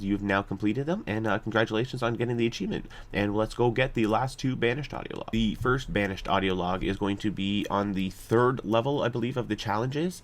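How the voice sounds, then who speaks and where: A man narrating a video game walkthrough off the cuff, explaining steps in a somewhat halting, hesitant way. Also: on the low side, fairly bright tone. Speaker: gaming guide host.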